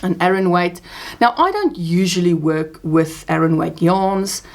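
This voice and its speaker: A woman in her forties from South Africa, with a South African accent and Afrikaans as her first language, chatting casually about her crochet projects in a small home craft room. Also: a little slow. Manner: chatty, animated pitch jumps, laughs often. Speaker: crochet vlogger. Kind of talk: casual show-and-tell chat.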